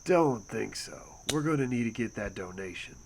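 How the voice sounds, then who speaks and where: A man's voice: medium pitch, expressive, moderate pace, gravelly, darkish tone, a little hiss or hum. A man playing a pushy young street thug in an audio roleplay, talking in informal slang. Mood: cocky, pushy.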